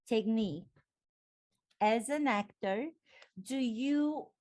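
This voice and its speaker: A woman from Romania with a Romanian accent, hosting an interview and talking expressively as an acting coach. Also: a little high. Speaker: podcast host and acting coach. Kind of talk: interview conversation.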